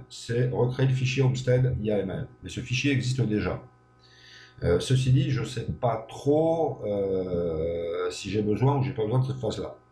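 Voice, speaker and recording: A man's voice: on the low side, warm tone. A man giving an unscripted screencast lesson, thinking aloud with many hesitations and frequent checks that the listener is following. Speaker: programming tutor. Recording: echoey room with faint hum.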